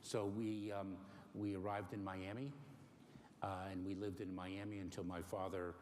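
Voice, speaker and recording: A middle-aged man born in Cuba, giving careful, halting interview answers with many pauses and fillers. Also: sits low, flat delivery, a little mellow. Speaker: interview guest. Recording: faint noise in a long-reverb room.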